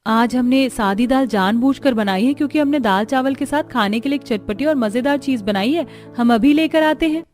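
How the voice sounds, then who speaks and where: A woman acting an expressive, chatty young village daughter-in-law in a cartoon story. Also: high-pitched, moderate pace, hardly any pauses, soft background music, close to an everyday voice. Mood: cheerful.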